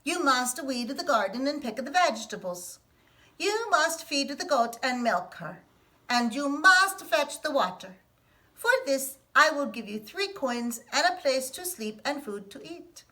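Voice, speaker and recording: A woman voicing a kindly old grandma witch, speaking in a put-on Italian accent with slow, emphatic instructions and sing-song chants. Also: high voice, big pitch swings, sharp tone, voice pushed up, full character voice. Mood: instructing.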